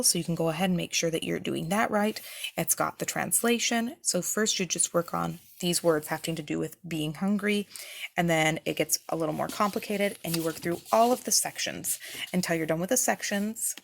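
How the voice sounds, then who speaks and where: A woman's voice: on the low side, creaky. A woman giving a casual, explanatory product review as a parent, talking informally and with enthusiasm.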